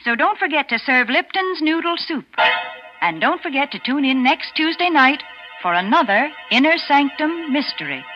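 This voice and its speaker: A woman's voice: high voice, very animated, moderate pace, loud music behind the voice. A woman reading a cheerful scripted radio commercial in 1940s style. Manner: cheerful sales patter. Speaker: commercial announcer. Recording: muffled 1940s radio broadcast.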